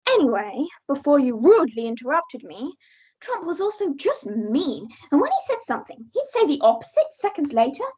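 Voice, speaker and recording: High-pitched English aunt played by a young woman, bossy, dramatic, opinionated, amateur radio play, muffled lo-fi recording. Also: big pitch swings, normal speed, darkish tone. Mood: opinionated storytelling. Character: outspoken, bossy aunt retelling past world events. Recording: dull lo-fi home recording.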